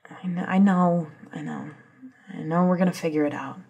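An intense, impatient woman in a supernatural audio drama, acted by a woman. The voice is fairly low and heavily breathy, with an insistent conversational delivery. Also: fairly even intonation, normal speed, darkish tone, lo-fi sound. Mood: restless, impatient. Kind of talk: ASMR audio roleplay drama.

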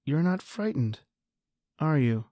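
A woman's voice: low voice, very animated, slow pace, mellow, dry recording, clean studio sound, exaggerated voice. A woman playing a frightened, injured man hiding in a tunnel, stammering and panicky, in an old-time radio drama. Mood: tense, hushed.

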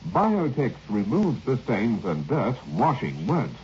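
A man's voice: medium pitch, wildly swooping pitch, takes it slowly, darkish tone. A man reading a vintage radio advertisement as an announcer, emphatic and clipped in his selling. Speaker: commercial announcer. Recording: dull, noisy old radio recording.

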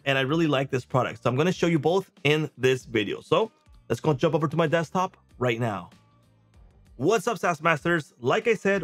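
Casual man demoing software over background music. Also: fairly high pitch. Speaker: presenter of software review videos. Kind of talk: casual software demo to camera. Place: small indoor home studio.

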